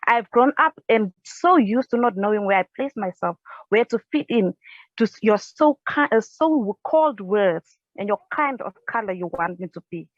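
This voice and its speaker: A young woman from South Africa reciting her own spoken word poem at home on a couch, earnest and halting, with restarts, into an earphone mic. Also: medium pitch, animated, no echo. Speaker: poet. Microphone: earphone headset mic. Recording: dry, dull lo-fi sound.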